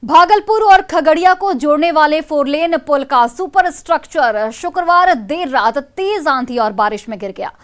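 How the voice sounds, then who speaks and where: A woman's voice: sits high, animated, normal speed, few pauses, fairly bright tone. A woman news anchor delivering breaking news in an emphatic, urgent way, repeating key phrases. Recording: music bed under the voice. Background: news music.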